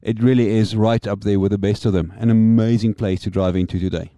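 A man's voice: lowish voice, steady tone, dark tone, no echo. South African man explaining rally tactics. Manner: measured, explanatory. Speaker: podcast co-host, motorsport pundit. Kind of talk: casual analytical chat.

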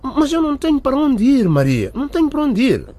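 An actor playing a distressed former security guard and father in a radio drama, husky and agitated, protesting and pleading. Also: very high-pitched, huge pitch swings, quick pace, warm tone, exaggerated voice. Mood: panicked, pleading.

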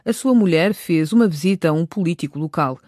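A woman's voice: on the low side, expressive, fairly quick, a little mellow, no echo, studio quality. A woman reading scripted narration for a radio drama as its narrator.

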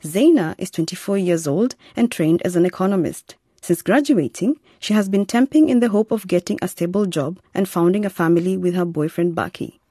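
A woman's voice: on the low side, a little fast, few pauses, dark tone, slightly rough, dry recording, clean studio sound. A woman presenting as narrator of a radio series, reading from a script.

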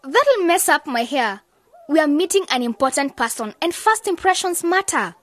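A woman's voice: high voice, sing-song, moderate pace, fairly bright tone, dry recording. An actress playing an eager, image-conscious young woman in a radio drama. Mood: earnest.

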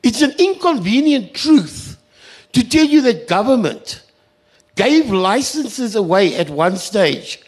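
A South African man speaks on a panel in a high, widely swinging voice. He is indignant and emphatic, with a sarcastic edge. He repeats key phrases to drive them home. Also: very animated, takes it slowly, slightly rough. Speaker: community radio sector representative on a panel. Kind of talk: impassioned panel speech.

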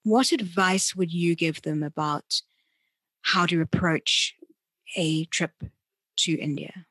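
A woman hosting a conversational interview, speaking deliberately and often rephrasing as she goes. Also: on the low side, a bit bright, husky, no echo. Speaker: interviewer and podcast host.

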